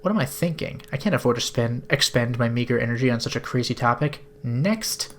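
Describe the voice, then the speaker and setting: A man's voice: mid-range voice, expressive, brisk, slight background noise, lightly acted. A man voicing a drowsy, sarcastic young woman held captive in a video game, in a wry, exasperated inner monologue. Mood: weary. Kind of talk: video game dialogue read-aloud.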